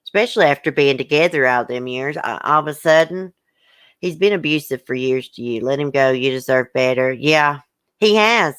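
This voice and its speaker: A woman's voice: rumbling low, expressive, moderate pace, fairly bright tone, clean tone, dry recording. A woman hosting a livestream, upset and hurt, bluntly venting and reading viewer comments aloud in casual, swearing speech. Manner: emotional, blunt, colloquial, swears. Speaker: YouTube channel host.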